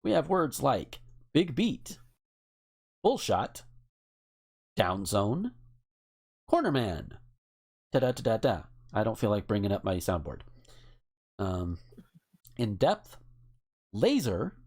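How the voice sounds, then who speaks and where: Man hosting a chat, very animated pitch. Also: slow, pauses often, breathy edge. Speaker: podcast host. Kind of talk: casual chat, reading out word lists.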